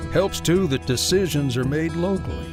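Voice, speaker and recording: Lively male ad announcer over guitar music. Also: on the high side, normal speed. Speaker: advertisement announcer. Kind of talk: scripted bank radio ad narration.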